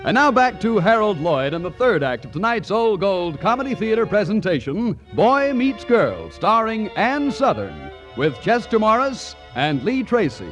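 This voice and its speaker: A man's voice: sits high, very animated, moderate pace, hardly any pauses, a bit bright, faint music behind. A man announcing on 1940s radio as a folksy, emphatic salesman. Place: radio studio. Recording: vintage 1940s radio broadcast.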